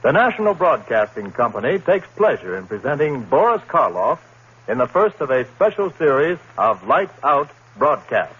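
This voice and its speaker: A man's voice: medium pitch, very animated, normal speed, breathy edge. A man announcing a 1950s radio drama in formal, theatrical scripted phrasing. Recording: dull, noisy old radio recording.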